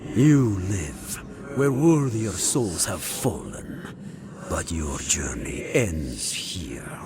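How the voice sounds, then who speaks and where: An actor playing a stern, vengeful guardian spirit in a fantasy game, with solemn, imperious, formal delivery. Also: male voice, sits low, expressive, drawn-out words, noisy background, exaggerated voice. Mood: menacing. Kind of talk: dramatic fantasy game acting.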